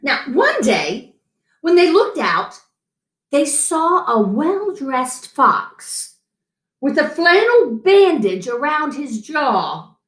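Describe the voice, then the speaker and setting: Woman, middle-aged, story-time read-aloud, unhurried, echoey room. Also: high-pitched. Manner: animated, chatting to an unseen guest between lines. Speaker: children's story time reader. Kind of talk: expressive picture-book read-aloud. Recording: roomy echo.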